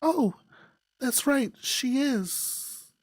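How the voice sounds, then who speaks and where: Woman playing a high-voiced, worried young daughter. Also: animated, very slow, dry recording, exaggerated voice. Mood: anxious, tender. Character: Michelle, worried, polite, dutiful adult daughter.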